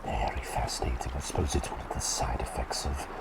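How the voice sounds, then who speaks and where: A man voicing a kindly, forgetful doctor turned talking bird in a gentle ASMR roleplay, breathy and half-whispered, often hesitating and repeating his phrases. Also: a bass voice, fairly quick. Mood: gentle, curious. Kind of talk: ASMR roleplay with personal attention.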